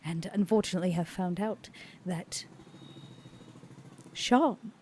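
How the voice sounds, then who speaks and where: A woman voicing a composed, guarded female vampire, speaking slowly and in a measured way, often half-whispered. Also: fairly low pitch, a little mellow, slight background noise.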